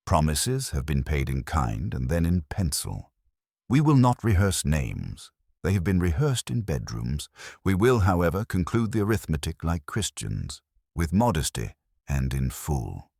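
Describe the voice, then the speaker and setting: A British man voicing a calm, courteous police inspector in a mystery story, measured, formal and dryly authoritative. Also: a bass voice, gravelly, no room sound, studio quality, exaggerated voice. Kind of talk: storybook character dialogue in a mystery.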